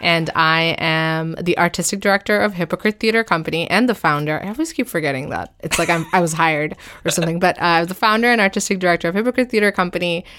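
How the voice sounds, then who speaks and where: A woman who grew up in India, a theatre director, chatting casually as an interview guest in a halting, self-deprecating way, with many fillers and laughs. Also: lowish voice, a bit bright, a little hiss or hum.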